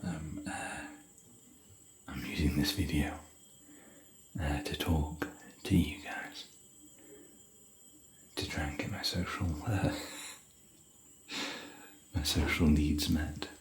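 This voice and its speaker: A man whispering softly in a rambling, chatty, gentle way while hosting an ASMR hotel room tour. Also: a bass voice, fairly even intonation, takes it slowly, pauses often. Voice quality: soft whisper.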